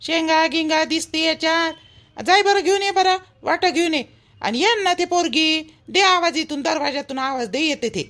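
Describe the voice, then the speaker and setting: A woman's voice: very high-pitched, normal speed, fairly bright tone. A woman playing a practical, nagging village mother of a grown son in a family cartoon, with a Vidarbha Marathi accent. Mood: chivvying. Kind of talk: family drama cartoon dialogue.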